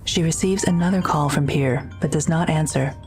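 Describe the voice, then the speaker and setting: A woman's voice: deep voice, normal speed, a little mellow, faint music behind. A woman reading scripted narration for an audio drama as its narrator. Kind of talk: scripted narration, present tense.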